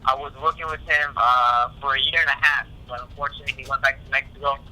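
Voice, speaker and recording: A man speaking as a boxer in a casual phone interview, halting and repeating himself, with frequent "you know". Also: mid-range voice, steady tone, pushed and bright. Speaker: professional boxer being interviewed. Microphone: telephone call. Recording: tinny phone line.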